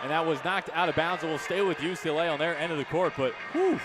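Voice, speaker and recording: A man doing live radio basketball play-by-play, projecting excited, rapid-fire calls. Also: a little high. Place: courtside in a basketball arena. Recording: loud arena crowd noise behind. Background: crowd, bouncing ball.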